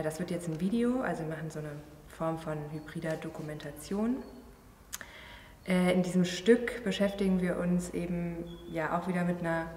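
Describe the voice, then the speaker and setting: A thirty-year-old woman explains her work in a casual interview, halting now and then to search for words. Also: on the low side, darkish tone. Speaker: performer and choreographer interviewee. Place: large empty theatre venue. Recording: echoey hall, distant mic, faint noise.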